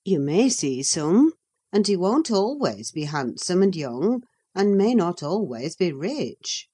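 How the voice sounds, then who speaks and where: A woman's voice: on the low side, very animated, mellow, slightly rough, heavily acted. A woman reading a sensible, reproving woman servant. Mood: reasoning. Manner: measured, reproving. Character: sensible, practical woman servant, cautious and superstitious. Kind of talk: audiobook character reading.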